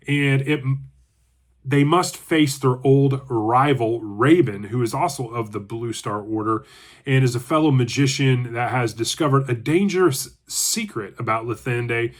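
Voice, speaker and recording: A congested man hosting his own channel, giving a chatty, relaxed book review and thinking aloud as he goes. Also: mid-range voice. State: congested from allergies. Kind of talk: casual book review talk.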